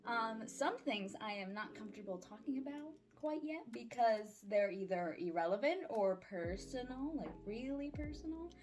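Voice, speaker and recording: Casting: woman, twenties, higher-pitched voice, informal vlogger delivery, frank, slangy and wry, music underneath. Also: expressive, moderate pace, hardly any pauses. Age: 20s. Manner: candid, slangy, playfully sarcastic. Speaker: vlogger and voice-acting audio creator. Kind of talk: casual chatty life-update vlog. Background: background music.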